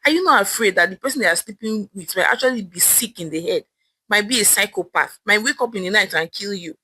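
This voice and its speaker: A woman storyteller voicing an excitable, hopeful young woman in a Nigerian accent, exclaiming and talking things over to herself. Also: mid-range voice, quick pace, slightly rough, rough recording. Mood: reproachful.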